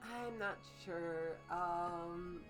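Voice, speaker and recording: A woman's voice: lowish voice, extremely slow, over music. A woman hosting a comic reading, moving between dramatic narration and casual joking commentary. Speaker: host reading and critiquing fan fiction.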